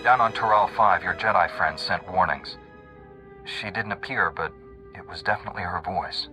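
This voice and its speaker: Actor as principled male hero, resolute, dry, lowish pitch, video game cutscene, music behind. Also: moderate pace, close to an everyday voice. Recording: music under the voice.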